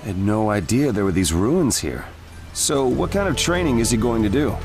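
A man's voice: fairly low pitch, expressive, moderate pace. A man voicing a mild, cautious adult ninja captain in an anime video game, with a hesitant, questioning manner. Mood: concerned. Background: faint game music.

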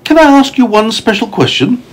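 A curious, polite small boy from a storybook, played by a man, talks with wide-eyed earnestness. The voice jumps up very high and swoops about. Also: very animated, normal speed.

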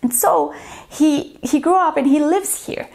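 A woman explains ideas to camera in a slightly high voice. She talks earnestly and step by step, stumbling into restarts when she tells a story. The sound is close and clean from a lapel mic in a small living room. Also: a little slow, vocal fry, a little mellow. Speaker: teacher and channel host. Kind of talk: educational talk to camera.

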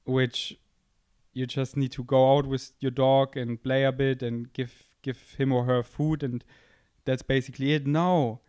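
A man's voice: mid-range voice, little pitch movement, a little slow, darkish tone, smooth, no room sound. Young guy rambling on his podcast, jokey and self-mocking, close handheld mic, dry room sound. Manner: playful, self-mocking, thinks aloud. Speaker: podcast host. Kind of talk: casual rambling monologue. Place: indoor room, seated on a couch. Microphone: handheld microphone held close.

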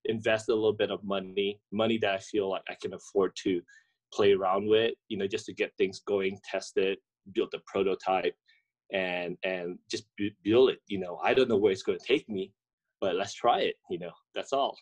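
Man, forties, somewhat low voice, halting with fillers, video call. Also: steady tone. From Indonesia. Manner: halting, many fillers and restarts. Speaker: guest, coffee entrepreneur. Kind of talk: conversational interview answers. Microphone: webcam or laptop mic on video call.

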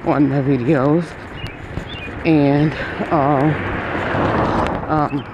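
A man's voice: on the high side, a little mellow, lo-fi sound, slight background noise. A man in his twenties vlogging casually while walking outdoors on a street, talking through an earbud mic and rambling with frequent restarts. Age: 20s. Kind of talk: casual vlog. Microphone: earbud headset mic.

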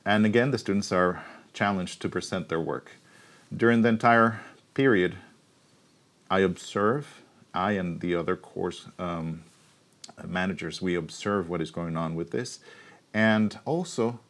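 A deep-voiced man in his forties explains his work at an unhurried, thoughtful pace. He pauses and restarts as he thinks. He is recorded on a clip-on mic in a studio. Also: fairly bright tone. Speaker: university researcher, keynote guest. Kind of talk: studio interview answers. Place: TV studio set. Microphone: lapel mic.

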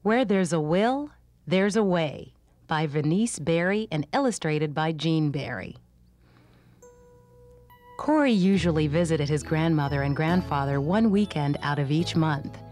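A woman's voice: low-pitched, lively intonation, unhurried, darkish tone. A woman reading scripted narration for a children's storybook. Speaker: storybook reader.

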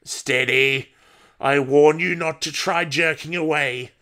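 A man's voice: on the high side, fairly even intonation, sharp tone. A man voicing an imperious old woman who taunts and threatens with cold control in an audiobook story. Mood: mocking, threatening. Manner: commanding, taunting, deliberate.

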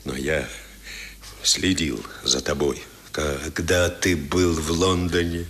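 A man's voice: a bass voice, fairly even intonation, slow pace, fairly bright tone, exaggerated voice. An actor playing a stern, proud old grandfather with theatrical, emphatic phrasing in a 1970s radio drama. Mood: tender, remorseful. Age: older.

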